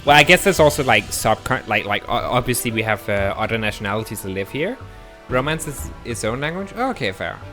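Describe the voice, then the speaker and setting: A man's voice: medium pitch, big pitch swings, brisk, over music. A man, an English speaker, chatting casually as a live streamer, rambling and self-deprecating with frequent restarts. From Denmark. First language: Danish.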